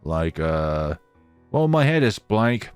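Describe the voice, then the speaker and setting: A man's voice: fairly low pitch, very animated, slow, clean tone, no room sound, soft background music. A man playing an earnest, awkward young traveler in a visual novel, chatty, teasing and self-deprecating. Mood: eager, awkward.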